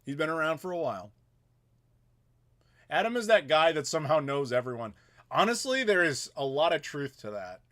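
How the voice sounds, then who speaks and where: A man's voice: on the high side, big pitch swings, normal speed, long pauses, bright, forceful tone. A man in his thirties hosting a casual live Q&A, chatting loosely and reading viewer messages aloud. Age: 30s. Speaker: YouTuber host.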